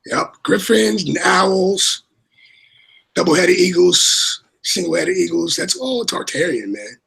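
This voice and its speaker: A man with a raspy voice chatting casually as a livestream co-host, rambling and repeating his words. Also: high voice, expressive, takes it slowly, fairly bright tone, poor audio. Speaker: co-host and moderator.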